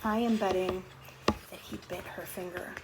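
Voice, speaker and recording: A middle-aged woman reads a picture book aloud to young children, pausing to explain simply and ask questions in a conversational way. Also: on the low side, fairly even intonation. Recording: clearly noisy home recording.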